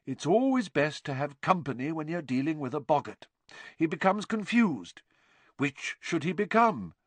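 Guy doing a kind cheery teacher, voice pitched up and bouncy, patient and encouraging. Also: very animated, normal speed, dry recording. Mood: cheerful teacherly. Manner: patient, encouraging, gently theatrical. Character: kindly, cheerful male professor, adult teacher. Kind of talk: audiobook character voice.

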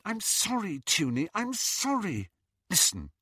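A man's voice: high voice, wildly swooping pitch, a little slow, pushed and bright, husky, dry recording, studio quality, pitched above the performer's own voice, full character voice. A man voicing a sensitive but proud young girl in an audiobook, earnest, pleading and wounded in manner. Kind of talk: audiobook character reading.

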